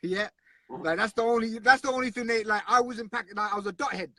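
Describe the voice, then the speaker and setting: A man's voice: high-pitched, fast talker, pushed and bright, no echo, clean studio sound. Earnest, blunt man. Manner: earnest, blunt, repeats himself. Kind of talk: casual conversation.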